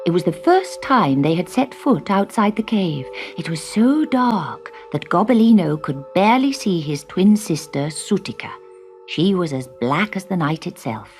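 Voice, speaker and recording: A woman reading a scripted children's story in an expressive, dramatic way with measured phrasing. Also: lowish voice, soft background music.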